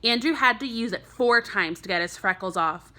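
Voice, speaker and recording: A woman's voice: on the high side, normal speed, pushed and bright. A woman teacher narrating a children's chapter book expressively for young pupils. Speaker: teacher reading aloud to first graders.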